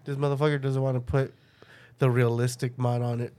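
A man's voice: mid-range voice, flat delivery, fairly quick, warm tone. A young man co-hosting a casual podcast, ribbing his friends in slangy, offhand talk. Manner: teasing, slangy. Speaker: podcast co-host. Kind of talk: casual banter among friends.

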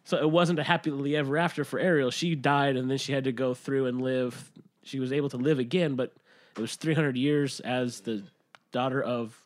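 A man's voice: medium pitch, fairly even intonation, a little fast. A man co-hosting a podcast, retelling stories to friends in an informal, mildly profane way. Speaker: podcast co-host. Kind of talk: casual retelling among friends.

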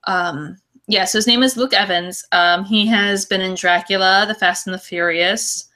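A woman's voice: medium pitch, fairly even intonation, moderate pace, bright, forceful tone. A woman co-hosting a podcast, chatting casually about films in a gushing, slangy, effusive way. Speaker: podcast co-host.

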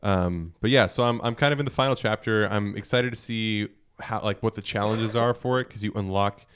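A man in casual conversation about video games as a podcast panelist, explaining things in a halting, thinking-aloud way. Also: lowish voice, fairly bright tone, smooth.

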